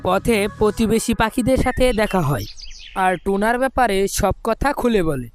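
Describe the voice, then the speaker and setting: A woman's voice: mid-range voice, expressive, moderate pace, faint music behind. A woman narrating a scripted children's cartoon story as the storyteller.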